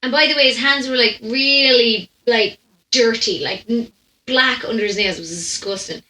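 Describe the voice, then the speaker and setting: A young woman with an Irish accent hosts a storytelling podcast. Her voice is fairly high and sharp-edged, and she talks in an animated, chatty style. The room adds a noticeable echo around her. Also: moderate pace. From Ireland. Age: young adult. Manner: animated, chatty, laughs while telling. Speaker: podcast host. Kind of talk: casual comic anecdote storytelling. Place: indoor room at home. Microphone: condenser microphone on stand, close. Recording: roomy echo.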